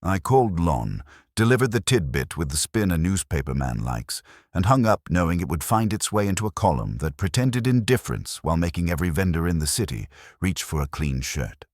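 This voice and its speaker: Super deep guy reading a detective story, lots of pitch movement, dry close sound. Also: sing-song, fairly quick, hardly any pauses, dry recording. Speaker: narrator. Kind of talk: scripted audiobook narration of a detective story.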